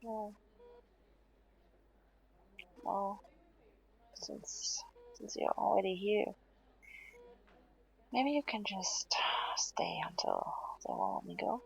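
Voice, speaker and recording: Actress as exhausted woman patient in audio roleplay: husky, slightly creaky, halting, half-whispered, stubborn yet affectionate. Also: medium pitch, muffled recording, exaggerated voice. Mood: weary reassuring. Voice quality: soft, breathy, often half-whispered. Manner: hesitant, halting, reassuring, drowsy. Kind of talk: intimate audio roleplay to the listener.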